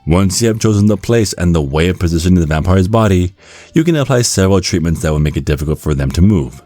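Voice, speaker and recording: Low-pitched man narrating, lively intonation. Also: quick pace, dry recording, faint music behind. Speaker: narrator and ASMR performer. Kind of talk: scripted ASMR narration. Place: close, dry recording with music behind.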